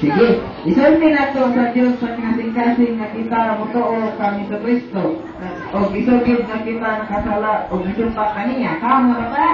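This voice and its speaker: A woman's voice: fairly high pitch, steady tone, moderate pace, close to an everyday voice. Distant, eager Visayan puppet played by a woman. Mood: coaxing. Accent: Visayan Filipino. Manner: coaxing, often adds questioning tags. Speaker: puppet show co-leader. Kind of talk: children's religious puppet show. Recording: distant, very echoey, muffled, noisy crowd.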